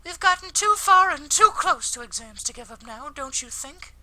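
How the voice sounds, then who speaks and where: A man voicing a kind, earnest high school girl, politely apologetic and gently teasing, in a visual novel. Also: animated, moderate pace, heavily acted, poor audio. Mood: earnest, encouraging. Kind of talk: visual novel character read aloud.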